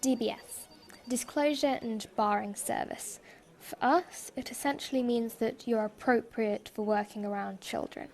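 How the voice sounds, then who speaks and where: A woman's voice: fairly high pitch, fairly even intonation, unhurried, darkish tone. A woman playing a strict, unimpressed store interviewer in a fan audio drama, formal and curt. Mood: brisk, formal.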